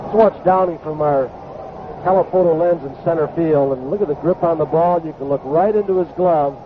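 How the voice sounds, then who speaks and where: A man's voice: on the high side, fairly quick, narrow, tinny audio. An American man giving explanatory color commentary on a baseball game as an analyst. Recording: thin, noisy vintage broadcast.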